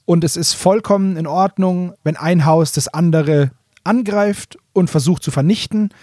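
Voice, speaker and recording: A man's voice: high voice, moderate pace, darkish tone, dry recording, studio quality. A man co-hosting a casual fan talk, explaining things in an informal, colloquial way. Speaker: podcast co-host.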